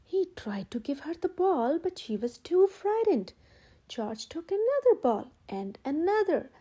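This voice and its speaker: Woman, children's storyteller, high mellow voice, expressive read-aloud delivery, emphatic phrasing. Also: unhurried. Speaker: storyteller, channel host.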